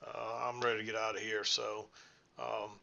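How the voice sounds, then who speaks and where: A man's voice: fairly low pitch, little pitch movement, normal speed, creaky, bright, forceful tone, muffled recording. A man reviewing trading charts, rambling folksily as he thinks aloud, his voice a little scratchy. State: slightly scratchy voice. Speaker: trading instructor. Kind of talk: screen-share chart walkthrough.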